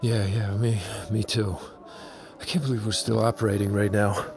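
A man's voice: on the low side, flat delivery, normal speed, noisy background, close to an everyday voice. An actor playing an angry, principled male head engineer who is fed up, defiant and profane, in a naturalistic audio drama. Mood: shaken, uneasy. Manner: profane, confrontational.

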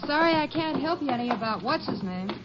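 An American actress playing a pert, breezy, evasive young waitress in a 1950s radio drama. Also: female voice, high voice, animated, brisk. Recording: muffled old radio, faint noise.

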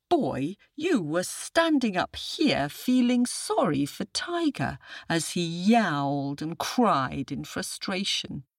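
A woman's voice: on the low side, no room sound, studio quality, full character voice. A woman voicing a wily male jackal who drawls and acts puzzled. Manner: drawling and yawning, feigning puzzlement.

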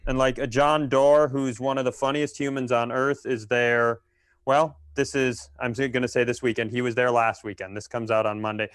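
A man's voice: medium pitch, little pitch movement, moderate pace, clean tone, no echo. A man with an American accent co-hosting a comedy podcast in casual, wry, joking conversation. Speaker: podcast co-host.

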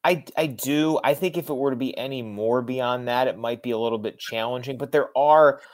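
A man's voice: medium pitch, fairly quick, darkish tone. An American man giving conversational sports analysis as an interview guest, emphatic and repeating words as he reasons things through. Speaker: sports radio play-by-play broadcaster, interview guest.

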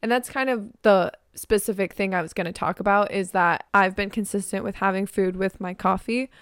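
A young woman in her twenties hosting her own podcast in a casual, chatty way, her voice raspy because she is losing it. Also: medium pitch, a little fast, a bit bright, no echo, clean studio sound. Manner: chatty, filler-heavy, frank. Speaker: podcast host. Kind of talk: casual solo podcast chat.

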